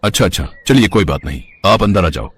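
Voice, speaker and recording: Man as easygoing young bachelor, low and husky. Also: lively intonation, brisk, fairly bright tone, no echo, full character voice. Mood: friendly. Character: friendly, respectful young bachelor employer. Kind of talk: dramatised Hindi moral story.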